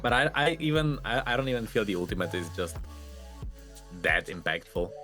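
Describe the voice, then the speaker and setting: A man's voice: lowish voice, very animated, moderate pace, pushed and bright, soft background music. A man chatting casually and emphatically on a gaming podcast, repeating phrases to make his point. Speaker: podcast panelist.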